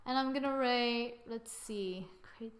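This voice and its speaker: Young adult female tutorial presenter, higher-pitched, informal, thinks out loud while working. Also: takes it slowly. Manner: informal, thinking aloud, muttering asides and sing-song filler. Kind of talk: casual screen-recorded software tutorial. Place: indoors, voice-over to a screen recording.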